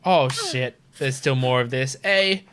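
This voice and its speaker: A man streaming a video game, reacting in a casual, animated, informal way with some swearing. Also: medium pitch, a little slow, a crowd in the background. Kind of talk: casual gameplay commentary.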